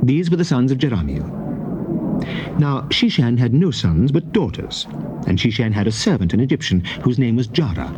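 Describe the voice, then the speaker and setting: A man narrating scripture in a solemn, measured, declamatory manner, as in a dramatized King James Bible reading. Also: mid-range voice, big pitch swings. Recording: noisy old cassette tape recording.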